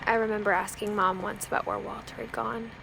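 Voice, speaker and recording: A young woman with an American accent playing a pensive narrator in a video game, quietly recounting family secrets in a measured, reflective way. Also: medium pitch, steady tone, gravelly, noisy background, natural voice.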